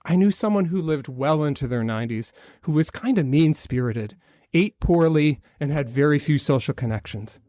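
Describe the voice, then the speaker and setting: A man's voice: a little high, animated, normal speed, mellow, no echo. Male minister, conversational sermon, light wry humor, dry pickup. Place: church sanctuary.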